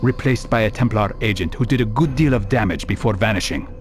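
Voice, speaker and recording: A man playing a calm, resolute assassin hero in a video game, speaking in a measured, formal, serious manner. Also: on the low side, faint music behind, lightly acted. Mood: firm.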